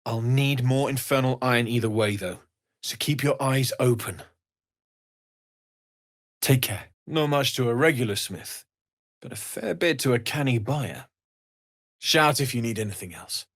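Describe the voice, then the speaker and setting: Male actor as a young tiefling blacksmith for a fantasy game: sincere, kindly, gentle, measured, medium-pitched. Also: natural voice. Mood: earnest, businesslike. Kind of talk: video game character dialogue.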